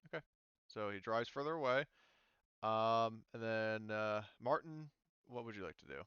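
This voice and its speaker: Male game master, casual tabletop narration. Smooth tone, dry and slightly dull recording. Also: lowish voice, expressive, moderate pace, dry recording. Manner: casual, thinking aloud.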